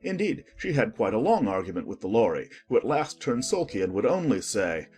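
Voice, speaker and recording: Low-voiced, animated male storyteller. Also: fairly quick, faint music behind. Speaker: audiobook narrator. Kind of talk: expressive scripted storybook narration.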